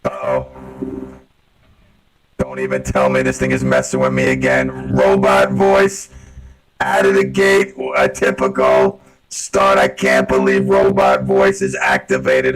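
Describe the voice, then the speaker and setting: A man's voice: extremely low pitch, steady tone, unhurried, poor audio. A man hosting a casual live radio show in a rambling, flustered, informal way, his voice run through a robot voice changer.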